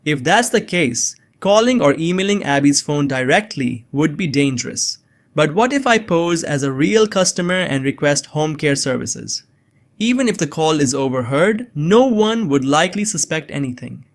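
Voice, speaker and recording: A man playing a nerdy, anxious young man on the run, narrating a suspense story in a measured, earnest way. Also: a little high, expressive. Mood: tense, measured. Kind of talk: first-person scripted narration of suspense fiction. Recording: music behind the voice.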